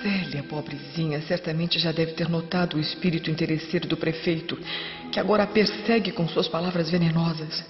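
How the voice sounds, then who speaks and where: A woman's voice: deep voice, fairly even intonation, a little fast, natural voice. Lady acting as anguished roman mom, helpless plaintive soliloquy, echoey radio play, muffled. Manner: plaintive, theatrical. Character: anguished noble Roman mother, sorrowful and helpless. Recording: echoey reverb, dull audio, faint music.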